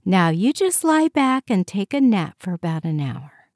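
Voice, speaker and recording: A woman's voice: mid-range voice, sing-song, measured pace, a little mellow, no room sound, clean studio sound. A woman voicing a chirpy, efficient, good-natured female medical technician in an audiobook, reassuring in a sing-song way. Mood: cheerful, businesslike. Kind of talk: audiobook character voice.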